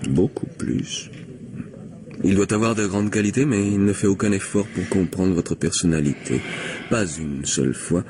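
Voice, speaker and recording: Deep-voiced smooth-talking palm reader played by a man. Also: noisy background, natural voice. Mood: insinuating, tender. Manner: insistent, flattering, hesitant pauses while divining. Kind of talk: old-fashioned French radio mystery play acting.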